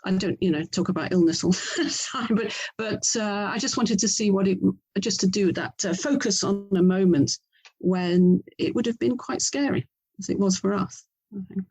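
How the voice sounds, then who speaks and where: A middle-aged woman with an English accent speaks as an author being interviewed. Her pitch sits in the middle range. She is conversational and reflective, rewording herself as her sentences meander. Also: normal speed, a little mellow, husky. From England. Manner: reflective, meandering, self-correcting.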